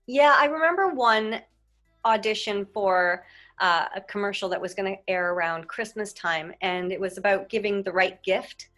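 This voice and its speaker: A woman host carries a relaxed interview, chatting and telling stories from her own work. Her pitch stays in the ordinary middle range. Quiet music runs faintly behind her. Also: normal speed. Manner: warm, chatty, storytelling. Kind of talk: casual conversation.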